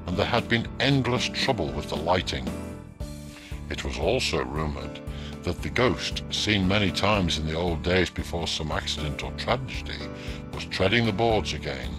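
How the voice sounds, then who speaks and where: A man reads a scripted ghost story as narrator, in a measured, dramatic storytelling manner. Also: low voice. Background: music.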